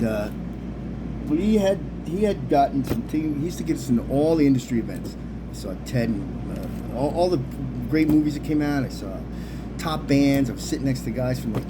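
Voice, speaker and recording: Middle-aged American man rambling over background noise. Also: mid-range voice. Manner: animated slang-heavy talk with frequent swearing. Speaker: storyteller, video host. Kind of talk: casual rambling anecdote. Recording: clearly noisy background hum.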